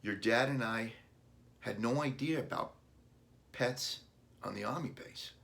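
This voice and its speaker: A man plays a gentle mother in a children's storybook. The voice lifts a little and speaks with soothing regret, apologizing to a child. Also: medium pitch, unhurried, vocal fry, voice pushed up. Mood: apologetic.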